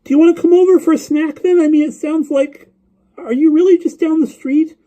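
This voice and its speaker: A man voicing a lonely, eager young boy on the phone in an audiobook story, guileless and rambling, with a childlike high-pitched voice. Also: steady tone, brisk, warm tone. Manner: guileless, rambling, repetitive, full of questions.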